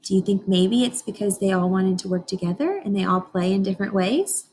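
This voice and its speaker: A woman teacher reading a picture book aloud to young children, expressive, often pausing to question her listeners directly. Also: medium pitch, slight background noise. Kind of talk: informational read-aloud lesson for young children.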